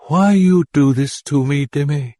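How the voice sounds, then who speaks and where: A man's voice: fairly high pitch, measured pace, warm tone, dry recording, clean studio sound, lightly acted. A man voicing an elderly, frightened mother in an audiobook, speaking broken English in an imploring way. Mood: reproachful.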